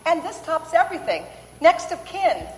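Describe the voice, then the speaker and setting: A woman's voice: very high-pitched, sing-song. Woman playing poised, skeptical career woman, echoey hall. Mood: skeptical, exasperated. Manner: polite, firm, measured. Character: poised, practical, skeptical working mother and manager. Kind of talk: old-time radio play acting. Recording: echoey hall with faint ambient noise.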